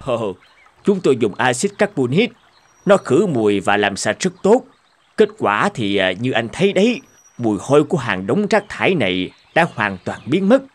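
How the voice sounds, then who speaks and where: A man dubbing a helpful, plain-spoken male waste plant worker in a cartoon, unhurried and matter-of-fact. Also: medium pitch, sing-song.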